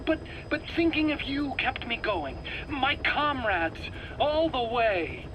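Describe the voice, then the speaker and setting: A man's voice: extremely high pitch, very animated, slow pace, pushed and bright, exaggerated voice, low-quality recording. A man playing an anxious, defensive weapons scientist, hesitant and stammering, in a video game drama. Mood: frightened, pleading. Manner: hesitant, stammering, pleading and self-justifying. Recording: clear background noise, interrogation tape.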